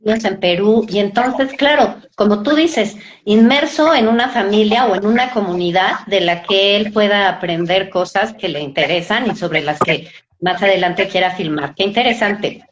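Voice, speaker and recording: A woman with a Mexican accent hosts a relaxed-format interview, speaking conversationally to her guest. Her audio is somewhat dull and lo-fi, as on an online call. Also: mid-range voice, moderate pace, a little hiss or hum. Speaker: interview host.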